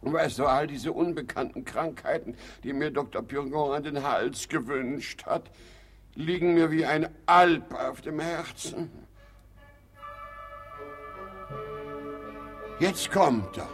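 An actor playing an anxious, gullible hypochondriac in a radio play, fretful and deferential to doctors. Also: male voice, on the high side, measured pace, over music, lightly acted. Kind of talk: German radio play acting.

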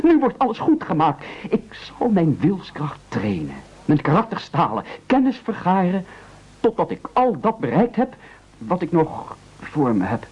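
A dull old radio recording of a timid, self-pitying family man. His highish voice swings widely as he makes hesitant, theatrical pleas in a satirical radio play. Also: slightly rough, exaggerated voice. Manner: pleading, oratorical. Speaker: radio drama actor.

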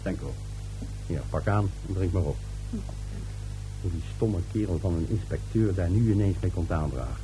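A man's voice: sits low, normal speed, pauses often, faint music behind, lightly acted, low-quality recording. An actor playing a domineering, dismissive middle-aged father in a radio play, brusque and scoffing. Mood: irritated, brusque.

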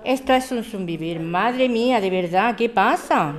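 A woman's voice: mid-range voice, a little slow, natural voice. A woman with a Spanish accent from Spain playing a weary, fed-up bar owner in a scripted radio drama. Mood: exasperated. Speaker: actor in an audio drama.

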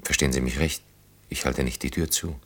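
A man's voice: extremely low pitch, fairly even intonation, brisk. An actor in a German radio play, playing an earnest, self-reproaching man in his thirties in love, speaking in an intimate, ruminative way. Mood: regretful, restrained. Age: 30s.

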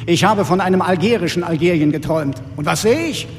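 Haughty fanatic, higher-pitched male villain. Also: brisk, a little hiss or hum. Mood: scornful, fanatical. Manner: pompous, preaching. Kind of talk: radio drama acting. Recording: long reverb, faint music behind.

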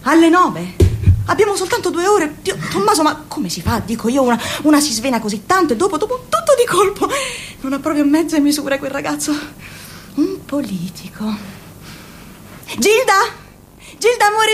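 A woman's voice: extremely high pitch, sing-song, normal speed, slight background noise. An actress playing a bossy, fussing middle-aged mother in a radio play, fawning on guests. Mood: fussy. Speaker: mother of two grown children. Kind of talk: radio drama acting.

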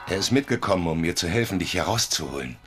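Casting: naive lovesick man, deep register, pleading, radio crime drama. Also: lively intonation, fast, a bit bright, soft background music. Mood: tender, earnest.

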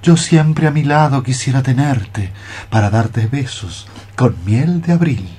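An actor playing a lovelorn, dreamy young cockroach poet, declaiming lyrical verse in a radio play. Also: male voice, medium pitch, animated, unhurried, mellow, breathy edge. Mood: rapt, yearning. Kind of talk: radio theatre verse acting.

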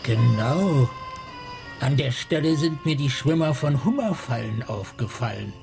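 A man's voice: medium pitch, lively intonation, measured pace, vocal fry, music in the background. An actor in a radio crime drama playing a terse, hot-headed boatman with a matter-of-fact delivery. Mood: terse, grim.

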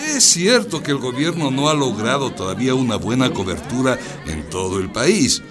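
A man's voice: mid-range voice, sing-song, normal speed, natural voice. A man playing a fussy, defensive education inspector in a radio drama. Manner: fussy, bureaucratic, hesitant. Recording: music and crowd noise behind.

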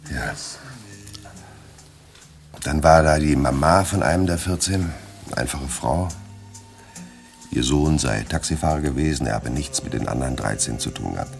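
An actor playing a weary, fearful but dogged middle-aged crime writer, brooding and wry, in a German radio drama. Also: male voice, extremely low pitch, moderate pace, pauses often, soft background music. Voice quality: gravelly.

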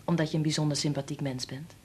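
An actress playing a warm, frank wife and mother in a Dutch radio play, talking in a soothing, confiding way. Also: sits low, fairly even intonation, fast talker, a little hiss or hum, close to an everyday voice. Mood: soothing, affectionate.

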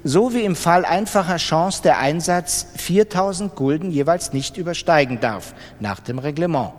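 A man voicing a polite, brisk casino croupier formally calling out bets in a literary reading. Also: a little high, expressive, close to an everyday voice. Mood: courteous, official. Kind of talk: dramatized literary audiobook reading. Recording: long reverberant hall.

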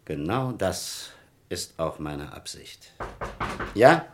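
Deep-voiced, probing police captain. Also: male voice, very animated, drawn-out words, noisy background, full character voice. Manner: interrogating, formal, pointed questions. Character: probing, dry, insistent male police captain. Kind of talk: German crime radio play acting.

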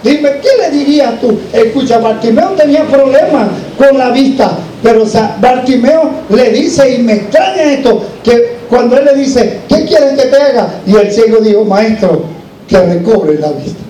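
A male preacher exhorts his congregation from some distance off the microphone, in a roomy, echoing space. His voice sits high for a man, and he drives the sermon with questions and repeated phrases. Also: moderate pace, dark tone, slight background noise. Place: large echoing room, church service.

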